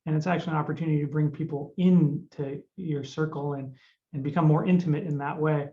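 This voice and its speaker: A man's voice: on the high side, fairly even intonation, fairly quick. Thoughtful man on muffled video call. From Peru. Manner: thoughtful, hesitant, frequent restarts. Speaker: judge. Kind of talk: webinar panel conversation.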